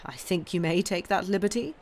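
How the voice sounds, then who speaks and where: A woman voicing a calm, gentle elderly doctor who speaks quietly, slowly and soothingly. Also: lowish voice, slight background noise, natural voice.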